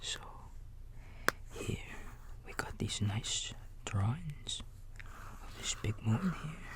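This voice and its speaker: A man playing a kindly raccoon babysitter in a bedtime ASMR roleplay, whispering softly and gently reassuring a small child in a folksy, halting way. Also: medium pitch, lively intonation. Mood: soothing, playful. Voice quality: soft, breathy whisper. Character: kindly big-brotherly male raccoon babysitter.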